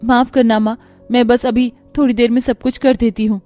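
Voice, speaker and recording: A woman's voice: on the high side, flat delivery, moderate pace, warm tone, no echo, soft background music, natural voice. A woman voicing a sad, meek young stepdaughter in a cartoon story, plaintive and timid.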